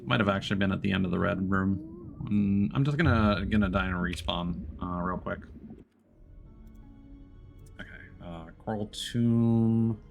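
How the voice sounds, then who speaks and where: A man giving casual commentary while playing a co-op game, guiding a teammate and thinking aloud haltingly. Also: sits low, creaky, faint music behind. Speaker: streamer guiding a co-op player.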